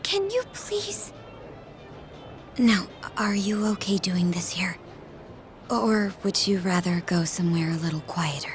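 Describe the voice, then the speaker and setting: An actress playing an urgent, secretive young woman in disguise in a video game, insistent and often hushed. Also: mid-range voice, animated, measured pace, pauses often, lightly acted. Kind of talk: English game dub cutscene acting. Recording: music behind the dialogue.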